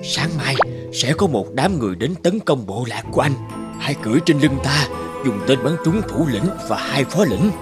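A man voicing a magical talking grey horse who gives calm, wise advice in an animated fairy tale. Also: mid-range voice, normal speed, music in the background, natural voice. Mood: advising.

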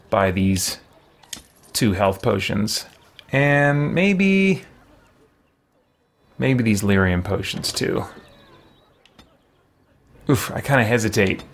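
A man in his thirties giving casual, unhurried game commentary, musing aloud and often hesitating. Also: on the low side, slight background noise. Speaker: streamer playing a role-playing game. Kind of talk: casual let's play commentary. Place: small indoor room with shelves, at a desk.

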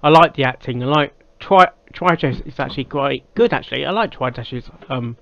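A man giving casual reaction commentary as a video host, in a halting way that repeats phrases. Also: medium pitch, vocal fry, a bit bright. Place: small cluttered room indoors. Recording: slightly dull, dry sound.

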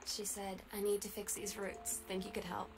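A young woman voicing a teenage girl who softly and intimately recalls a tender moment, in a game voice-over with music underneath. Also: mid-range voice, monotone, moderate pace, crowd noise, lightly acted, rough recording. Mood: wistful. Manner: soft, reflective and intimate. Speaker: game narrator reading a journal.

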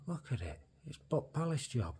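A British man giving casual, low-key running commentary, musing aloud as he explores. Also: very animated, moderate pace, warm tone. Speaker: urban explorer and vlogger. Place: inside a large derelict building, walking. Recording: faint background noise, indoor ambience.

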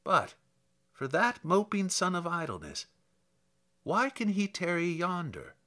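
A man reading as grumbling old country priest, slow-paced. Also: high-pitched, wildly swooping pitch, bright, forceful tone, pitched above the performer's own voice, full character voice. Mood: wry, exasperated. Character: homely old country priest, grumbling about idle tourists.

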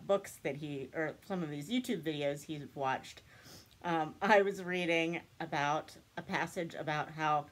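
A young woman chatting casually to camera in a small room, stuffed up with a cold, rambling and laughing often. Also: low voice. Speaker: book and craft vlogger. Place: small room indoors, seated before a cabinet.

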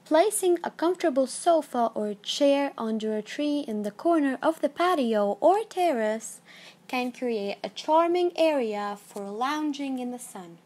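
A woman with a fairly high voice reads a scripted, numbered list. She takes it slowly and evenly, each item spoken with measured calm and no rush between points. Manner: measured, even read-aloud delivery. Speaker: narrator.

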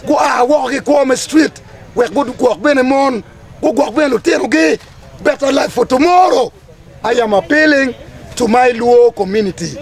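A man's voice: extremely high pitch, normal speed. A man in his thirties with a Ugandan accent, giving an impassioned, declamatory address as a community leader. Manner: emphatic, declamatory, repetitive phrasing. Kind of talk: impassioned public address to camera. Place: outdoors under trees among a gathering.

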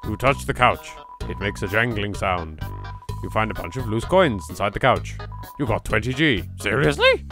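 A British man commentates on a video game as host, with playful, exaggerated reactions. His pitch swings widely and has a creaky edge. Faint game music runs behind him. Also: animated, normal speed. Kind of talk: let's play commentary.

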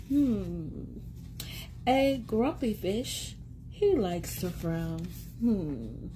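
Woman, 30s, children's read-aloud host. Lively swooping intonation, soft mellow tone, encouraging, sing-song; home phone recording with noise. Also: medium pitch, low-quality recording. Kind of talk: animated picture book read-aloud for young children. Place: small indoor room at home. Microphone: phone or tablet at arm's length.

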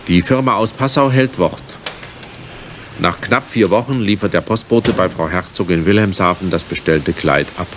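A man narrating a scripted TV crime film in a measured, factual manner. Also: sits low, noisy background.

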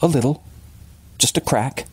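A man's voice: mid-range voice, unhurried, darkish tone, husky, dry recording, voice pushed up. A man playing an agitated, defensive working-class father confessing a horror in blunt, colloquial words, as in an audiobook. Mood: uneasy, haunted.

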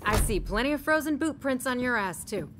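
Feisty young military woman for a game: snappy, defiant, pitched a bit high. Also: animated, a little fast, a bit bright, slight background noise. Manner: blunt, snappy. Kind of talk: video game cutscene acting.

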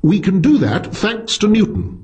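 A man's voice: high-pitched, sing-song, a little slow, a little mellow, highs cut off, voice pushed up. A man voicing an intense, reedy philosophy professor who lectures with smug energy, in an audiobook story. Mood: earnest lecturing.

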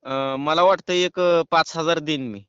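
A man with a rural western Maharashtra Marathi accent, chatting casually on a phone call in a hesitant, halting way. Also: a little high, fairly even intonation, a bit bright, clean tone, dry recording. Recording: muffled phone call recording.

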